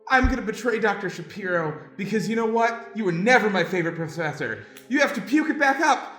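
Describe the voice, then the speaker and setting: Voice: mid-range voice, lively intonation, a little fast, a bit bright, close to an everyday voice. A performer voicing an eager, dramatic student of magic in an improvised comedic radio play. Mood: frantic. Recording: roomy echo with music underneath.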